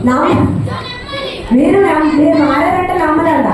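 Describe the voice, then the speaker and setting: A woman presents to children at a Bible school, loudly repeating questions to get them to answer. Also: high-pitched, moderate pace. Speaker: children's puppet show host. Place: large indoor hall with children. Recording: very echoey noisy hall, distant mic, crowd. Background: crowd of children, loud noise.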